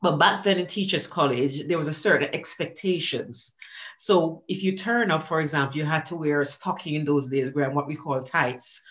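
A middle-aged woman from Jamaica with a Jamaican accent, a guest on an interview podcast who tells stories about her teaching career in a warm, animated way and laughs often. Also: low voice, normal speed. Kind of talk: conversational storytelling.

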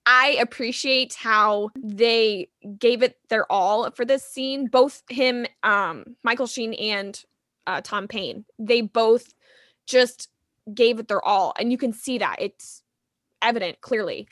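Young woman cohost, bright sharp voice, playful and giggly, dry close mic. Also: on the high side, takes it slowly, dry recording. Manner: playful, laughs a lot, theatrical. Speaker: podcast co-host. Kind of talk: casual chat recapping a TV episode.

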